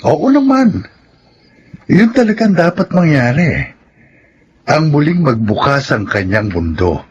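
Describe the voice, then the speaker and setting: Animated male psychiatrist. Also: a little high, takes it slowly. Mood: reassuring. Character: reassuring, reasonable male psychiatrist advising a couple. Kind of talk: Filipino radio drama acting. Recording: dull sound with faint noise.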